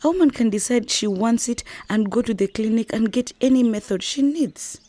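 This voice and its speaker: A woman's voice: fairly high pitch, normal speed, warm tone, no echo, natural voice. A woman playing an injured but determined young wife and market trader in a radio drama, speaking wearily and earnestly. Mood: gentle, reassuring. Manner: weary, earnest, breathy delivery.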